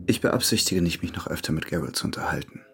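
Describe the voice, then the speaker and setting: Low, guarded man over noise. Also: lively intonation, fast talker. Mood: cool. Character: embittered, guarded ex-prisoner husband. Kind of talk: radio crime drama acting. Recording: clearly noisy background.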